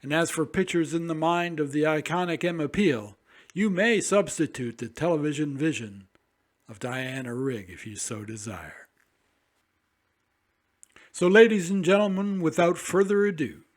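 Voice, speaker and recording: A man with a fairly high voice hosts a classic radio programme. He reads a scripted introduction with grand, theatrical announcer phrasing. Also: lively intonation, unhurried. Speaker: radio show host.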